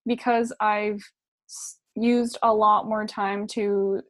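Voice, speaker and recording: A woman's voice: medium pitch, level tone, slow pace, no room sound, studio quality. A young woman chatting casually as a podcast co-host, a native speaker of both Japanese and English, who peppers her informal talk with "like". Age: young adult. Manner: informal, frequent "like". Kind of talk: casual chat.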